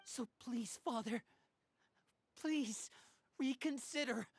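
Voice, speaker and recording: An actress playing an earnest, loyal youth, pleading in a respectful, heartfelt way. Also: female voice, on the high side, slow, breathy edge, heavily acted.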